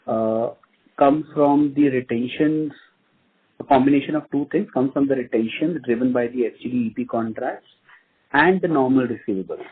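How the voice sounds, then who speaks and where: An Indian-accented man, a finance executive, answering investor questions in a measured, formal way with repeated words. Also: mid-range voice, a little hiss or hum. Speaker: company finance executive on an earnings call. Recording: muffled narrow phone-line audio.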